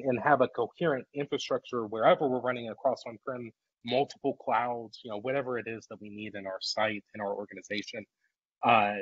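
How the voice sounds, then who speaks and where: A man's voice: medium pitch, breathy edge, dry recording. Congested man presenting on a video call. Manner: casual and conversational, with frequent fillers and repeated words. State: congested from a recent illness. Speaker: workshop presenter. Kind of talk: live webinar presentation. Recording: muffled video call audio.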